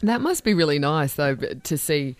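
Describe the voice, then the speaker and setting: A woman's voice: low voice, big pitch swings, normal speed, no echo. A woman with an Australian accent hosting a radio interview in a studio, chatty and enthusiastic with small restarts. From northern New South Wales, Australia. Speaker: radio host. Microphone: studio microphone.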